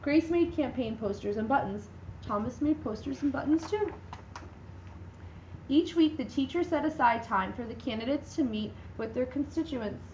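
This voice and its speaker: A woman giving a dramatic reading of a children's picture book, with lively, expressive delivery and voices for the characters. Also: high voice, moderate pace, reverberant, highs cut off, a little hiss or hum. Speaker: reader.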